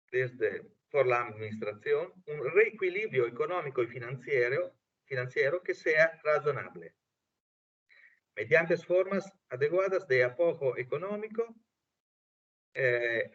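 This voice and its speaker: A man with an Italian accent, a native Italian speaker, giving an academic talk haltingly, with many fillers. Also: a little high, fairly bright tone. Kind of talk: academic presentation. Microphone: video call. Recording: distant lo-fi video call audio.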